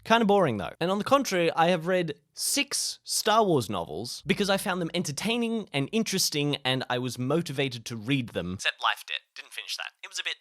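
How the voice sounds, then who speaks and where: A man's voice: fairly high pitch, big pitch swings, fairly quick, few pauses, fairly bright tone, dry recording. A man giving casual advice to camera in a playful, self-deprecating way with comic asides. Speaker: YouTube creator sharing tips.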